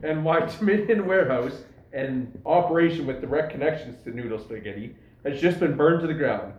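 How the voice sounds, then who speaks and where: Man acting as suspicious police constable, high male voice, formal, indignant, easily bewildered, stage farce, roomy echo. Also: expressive, a little fast, a little mellow, muffled recording, natural voice. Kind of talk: stage farce play reading.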